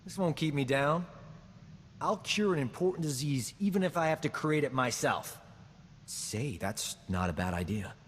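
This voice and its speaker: A man's voice: fairly high pitch, animated, moderate pace, a bit bright, in a large hall, slight background noise. An actor playing a cocky, womanizing human doctor who boasts and wisecracks in a video game. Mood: scheming. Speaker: companion character. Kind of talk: video game dialogue acting.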